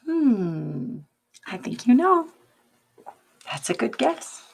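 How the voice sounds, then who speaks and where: Slow, very expressive woman reading to children. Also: fairly high pitch, a little hiss or hum. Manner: expressive, deliberate, prompting listeners. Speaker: teacher reading aloud to children. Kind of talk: picture-book read-aloud with questions to young listeners.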